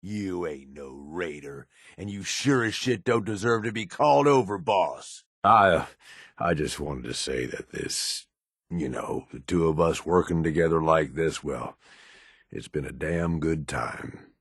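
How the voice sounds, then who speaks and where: A middle-aged man with an American accent playing a hard-bitten, cynical raider lieutenant in a video game, with a gruff, streetwise, sardonic delivery. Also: rumbling low, expressive, unhurried, no echo, clean studio sound, heavily acted. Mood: angry, contemptuous. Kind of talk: in-game character dialogue.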